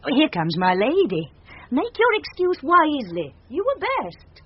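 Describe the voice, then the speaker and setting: An actress playing a sharp-tongued waiting gentlewoman in radio Shakespeare, lively and teasing. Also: high voice, unhurried, heavily acted. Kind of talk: 1970s radio Shakespeare acting. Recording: dull vintage radio recording.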